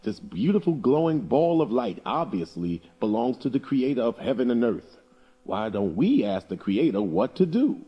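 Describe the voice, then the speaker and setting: A man's voice: medium pitch, very animated, moderate pace, breathy edge, highs cut off. A man voicing a grateful, fair-minded father in a folktale. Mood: earnest.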